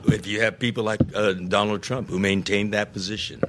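An older man speaking as a podcast guest, earnest and deliberate, saying his voice is going. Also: on the low side, flat delivery, noisy background. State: losing his voice. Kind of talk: interview conversation.

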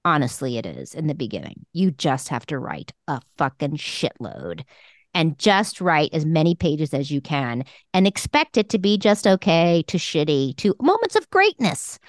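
A woman's voice: low-pitched, very animated, normal speed, no echo, clean studio sound. A woman co-hosting a talk show, giving frank, emphatic advice to emerging writers in a casual conversational way. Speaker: podcast co-host and screenwriting mentor.

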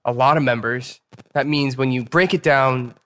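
A male host runs a relaxed interview, chatty and quick to joke. His questions come out loose and conversational. His voice sits at an ordinary pitch for a man. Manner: playful, joking, chatty questioner. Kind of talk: casual interview chat.

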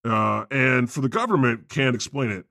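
A man's voice: medium pitch, expressive, moderate pace, gravelly, bright, forceful tone, no room sound, studio quality. A man playing God as a brash, foul-mouthed know-it-all in comedic podcast banter, insistent and emphatic. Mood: emphatic, incredulous.

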